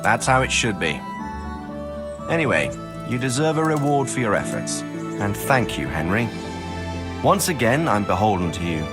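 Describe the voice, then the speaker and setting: A man's voice: fairly low pitch, sing-song, moderate pace, long pauses, over loud music. An actor playing a commanding nobleman in a video game, dry and wry yet gracious to his retainer. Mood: grateful. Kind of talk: dramatic video game dialogue.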